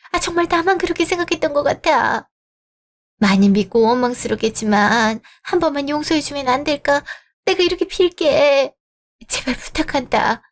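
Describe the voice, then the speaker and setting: Woman voicing a snooty middle-aged mother-in-law, high voice, haughty then groveling, radio drama. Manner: haughty, later pleading and begging. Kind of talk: Korean radio drama acting.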